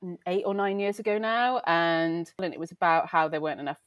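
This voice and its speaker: A woman's voice: lowish voice, a little fast, no echo, muffled recording. Chatty woman with a light Welsh accent. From Wales. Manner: chatty, reflective, frequent fillers and self-corrections. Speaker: beauty journalist, guest. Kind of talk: casual conversational interview.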